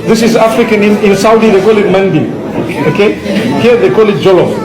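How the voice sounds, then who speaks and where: A man's voice: sits high, a little fast. A man addressing a group, making teasing, declarative pronouncements. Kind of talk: playful lecture to a crowd. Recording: echoey hall with crowd.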